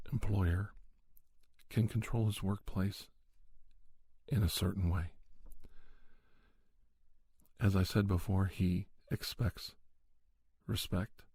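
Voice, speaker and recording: Male ASMR podcast host, low husky voice, reflective and measured monologue delivery, close and dry. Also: warm tone, no echo.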